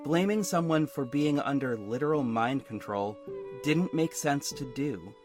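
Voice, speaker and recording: A man's voice: medium pitch, expressive, measured pace, natural voice. A man playing a young adult superhero who narrates his own story in a hurt, frank, introspective way for an audio drama. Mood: brooding, reflective. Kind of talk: first-person audio drama narration with dialogue. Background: instrumental music.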